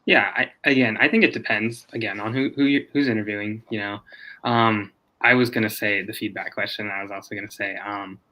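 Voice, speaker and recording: An easygoing man speaks on an online panel in a low voice with a bright, forceful edge. He fills his answers with casual asides. The audio comes through a video call. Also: fairly even intonation, brisk, poor audio. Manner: easygoing, lots of "you know". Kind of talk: panel discussion.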